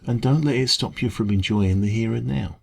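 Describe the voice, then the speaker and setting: A man with an English accent playing a kind café dragon in an ASMR roleplay, talking gently and reassuringly in a creaky voice. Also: fairly low pitch, a little fast, a little hiss or hum. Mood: encouraging, gentle. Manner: gentle, reassuring, hesitant conversational encouragement. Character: kind, caring male dragon who runs a café. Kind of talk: ASMR roleplay, comforting one-to-one talk.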